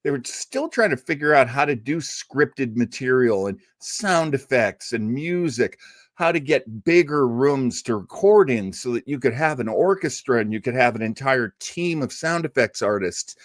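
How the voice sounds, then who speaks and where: A man's voice: mid-range voice, lively intonation, normal speed, no echo, clean studio sound. A man hosting an old-time radio show, chatting casually and enthusiastically with emphatic, rambling asides.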